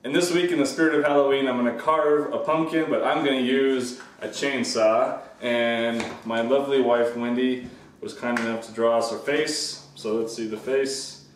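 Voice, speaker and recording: A man's voice: mid-range voice, fairly even intonation, normal speed, echoey room, a little hiss or hum. Upbeat man hosting a how-to, mic far off. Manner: upbeat, jokey, stumbles over his lines. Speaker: host of a woodworking channel. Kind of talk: casual how-to presenting. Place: home kitchen and backyard. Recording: distant mic with music underneath.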